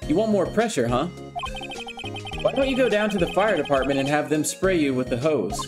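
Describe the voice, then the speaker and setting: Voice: mid-range voice, lively intonation, a little fast, lightly acted. A reader voicing a dry, sarcastic young male defense lawyer in a game read-through, with music underneath. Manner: dry, sarcastic, mildly weary. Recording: background music.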